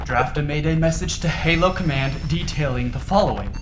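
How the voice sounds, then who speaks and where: A man's voice: a little high, fairly quick, lightly acted. A man playing an urgent, commanding starship captain in a sci-fi audio drama, giving clipped orders with growing desperation. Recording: echoey room, clear background ambience noise.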